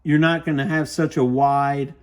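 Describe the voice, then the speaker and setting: A man's voice: a little high, flat delivery, normal speed, clean tone. Plainspoken man giving a casual product demo. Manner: informal, plainspoken, explanatory. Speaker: radio shop product reviewer, presenter. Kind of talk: casual product demonstration and beginner advice.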